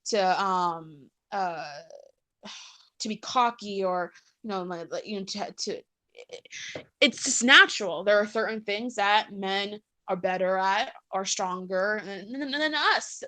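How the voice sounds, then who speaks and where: A woman's voice: medium pitch, slow, pushed and bright. A woman giving her opinions in a casual discussion, often repeating words and restarting her sentences.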